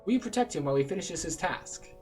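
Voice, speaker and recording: A man's voice: high voice, animated, quick pace, darkish tone, soft background music. A man voicing a courteous, businesslike agent recruiting adventurers in a fantasy game, with a formal, salesmanlike manner. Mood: courteous, persuasive.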